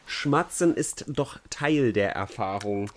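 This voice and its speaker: A man's voice: fairly low pitch, animated, takes it slowly, a little hiss or hum. A young man co-hosting a live podcast in casual banter, joking around and slipping into put-on dialect voices. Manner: jokey, switches into put-on dialect voices and laughs often. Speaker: podcast co-host.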